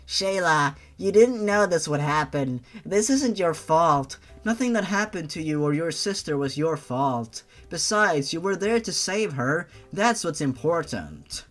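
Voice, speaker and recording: A narrator voicing a gentle, protective young man with a soothing, tender manner in a read-aloud story. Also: mid-range voice, expressive, measured pace, few pauses, faint music behind. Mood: reassuring.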